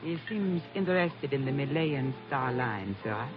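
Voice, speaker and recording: An actress playing a charming, sly adventuress with flirtatious, formal foreign phrasing in a 1940s radio drama. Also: female voice, a bass voice, lively intonation, measured pace. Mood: guarded. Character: charming, sly female adventuress in exotic casino. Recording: muffled, noisy vintage radio recording.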